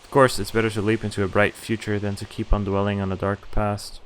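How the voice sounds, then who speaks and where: A man's voice: on the low side, flat delivery, a little fast, a little hiss or hum, rough recording. A man streaming a visual novel, reading the story aloud in first person with casual asides. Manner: reads story text aloud with casual commentary. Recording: game music behind the voice. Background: game music.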